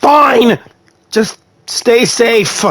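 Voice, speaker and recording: A woman's voice: on the high side, very slow, a little hiss or hum. An actress voicing a scrappy, hot-headed young female companion in a video game, brash and feisty. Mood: worried urging.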